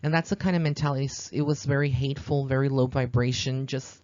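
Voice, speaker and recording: A man's voice: mid-range voice, steady tone, fast talker, a little mellow, no room sound, dull audio. An American man from Texas hosting a podcast, speaking in an informal, earnest monologue, explaining at length in a rambling way. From Texas, United States. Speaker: psychic medium podcast host.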